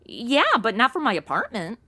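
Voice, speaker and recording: A brash young modern woman in a comic audio drama. Her voice is high and bright, swinging freely in pitch. She is ditzy, blunt and full of slang. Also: huge pitch swings, normal speed, husky, exaggerated voice. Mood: sassy, clueless. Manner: blunt, slangy, valley-girl phrasing. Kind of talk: comedic audio drama acting.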